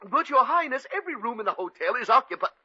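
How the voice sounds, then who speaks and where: Flustered, high-pitched night hotel manager. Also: male voice, sing-song, quick pace, sharp tone, slightly rough, no echo, heavily acted. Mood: flustered, deferential. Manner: stammering, deferential, fussy. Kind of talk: 1950s radio comedy acting. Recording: dull old radio recording.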